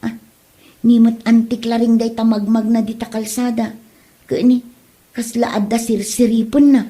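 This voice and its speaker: A woman's voice: a little high, level tone, moderate pace, warm tone, lightly acted. A woman playing a nosy, aggrieved villager in a comedy drama, with an Ilocano Filipino accent. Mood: curious, chatty. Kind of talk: amateur comedy radio-style drama.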